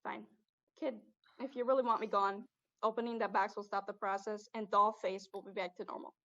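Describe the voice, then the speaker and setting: A woman's voice: medium pitch, steady tone, a little fast, a bit bright, dull audio, lightly acted. A young woman playing a jaded male spirit in a girl's body, talking streetwise in a student stage play. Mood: resigned, gruff. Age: young adult. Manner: streetwise, drops grammar, calls listener kid. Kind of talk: student one-act stage acting.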